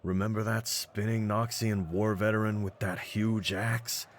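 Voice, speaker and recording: Casting: male actor for cocky brawler champion, deep voice, streetwise slang, mocking confidence, in-character roleplay audio. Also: level tone, measured pace, slight background noise, natural voice. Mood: fond, gritty. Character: cocky, brawny half-breed pit-fighting champion, hyping his partner.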